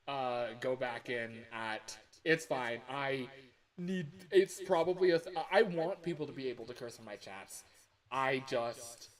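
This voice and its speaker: A man chatting casually on a livestream as an amateur voice actor, rambling, self-deprecating and breaking off mid-thought. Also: medium pitch.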